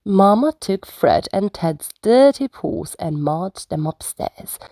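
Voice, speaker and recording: A woman reading bedtime stories aloud to young children in an expressive, unhurried storytelling manner. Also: mid-range voice, no room sound, clean studio sound.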